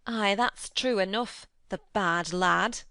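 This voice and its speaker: A woman's voice: very animated, moderate pace, a bit bright, no echo, clean studio sound. A woman doing Martha, a fretful Yorkshire maid. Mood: anxious. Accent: Yorkshire dialect. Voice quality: broad dialect speech, high and anxious. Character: flustered young Yorkshire maid, kindly and fearful.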